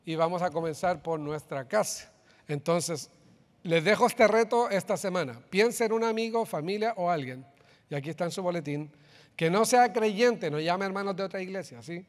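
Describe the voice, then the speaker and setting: A man's voice: sits high, expressive, moderate pace. A man with a Chilean accent giving announcements to a congregation and leading them through a group reading. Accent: Chilean Spanish. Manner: upbeat, addresses congregation directly, leads group reading. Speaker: pastor giving church announcements. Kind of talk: church announcements. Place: church sanctuary. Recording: long hall reverb.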